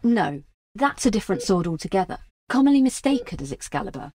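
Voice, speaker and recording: A woman's voice: mid-range voice, very animated, fast, mellow, a little hiss or hum, natural voice. A woman playing a poised, scholarly assistant in an audio drama, explaining things in a precise, assured manner. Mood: informative, assured. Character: Miss Turner, poised scholarly female assistant.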